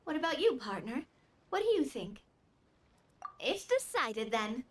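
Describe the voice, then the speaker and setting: An actress playing an eager young woman adventurer, expressive and inquisitive, in a fantasy game. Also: high-pitched, a little slow, slight background noise. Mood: musing, eager.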